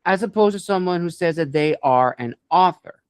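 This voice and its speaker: A man hosting an interview, putting his questions in deliberate, emphatic phrases. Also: on the high side, lively intonation, smooth, no echo, studio quality. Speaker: interview host.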